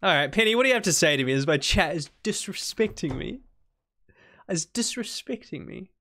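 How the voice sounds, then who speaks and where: Young kiwi guy streaming a farming game, highish voice, goofy back-and-forth with chat. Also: expressive, a little fast, bright, forceful tone. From New Zealand. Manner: playful, jumps to high squeaky protests when teased.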